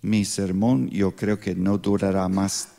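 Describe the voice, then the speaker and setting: Deep, gravelly older American man preaching. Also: flat delivery, warm tone. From Pittsburgh, United States. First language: English. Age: 60s. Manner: halting second-language speech with grammatical slips. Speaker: missionary preacher. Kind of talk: sermon with informal introduction.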